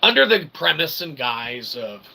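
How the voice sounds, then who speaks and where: A man's voice: on the high side, sing-song, measured pace, vocal fry, very noisy. A man delivering a tongue-in-cheek, rambling philosophical monologue as a channel host, in wordy, convoluted phrasing with frequent check-ins.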